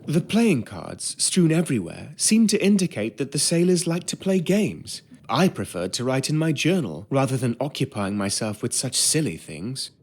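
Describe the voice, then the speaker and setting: An actor playing a polite, reflective ship's steward narrating in a period drama game. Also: male voice, medium pitch, very animated, normal speed, hardly any pauses, a little mellow. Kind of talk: scripted first-person period narration.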